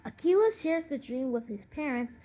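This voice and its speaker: A woman narrating a children's audiobook in an expressive storytelling manner. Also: high voice, normal speed.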